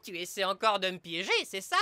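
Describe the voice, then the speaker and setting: A man's voice: high voice, steady tone, fast, pushed and bright. A man playing a suspicious, boastful pirate captain guarding treasure in a cartoon dub, exclaiming theatrically. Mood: wary, sly. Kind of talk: animated cartoon dub acting.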